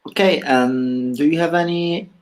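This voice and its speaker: A woman's voice: low-pitched, a little slow. A woman co-hosting a casual live chat, asking questions and adding many short agreements. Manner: backchannels a lot, asks questions. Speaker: co-host, interviewer.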